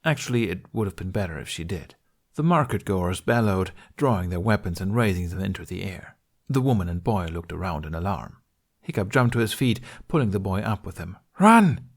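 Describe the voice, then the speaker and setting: Low-voiced man reading a story. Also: animated, a little fast. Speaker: podfic narrator. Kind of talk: scripted story narration, audiobook-style reading.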